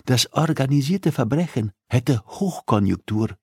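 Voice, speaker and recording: A man's voice: medium pitch, animated, measured pace, breathy edge, no room sound, studio quality, pitched above the performer's own voice. A man narrating an audiobook, voicing a coolly persuasive, threatening female criminal who interrogates with insistence and condescension.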